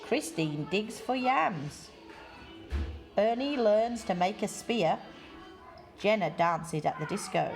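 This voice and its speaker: A woman reading a children's picture book aloud in deliberate, measured phrases with expressive emphasis. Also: medium pitch, vocal fry. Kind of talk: read-aloud children's story.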